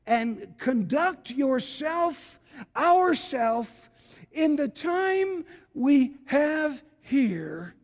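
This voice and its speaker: A man's voice: very high-pitched, gravelly. A man of about seventy, preaching a fervent evangelical sermon in an exhorting, emphatic way, repeating phrases for weight. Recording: reverberant hall with long echo.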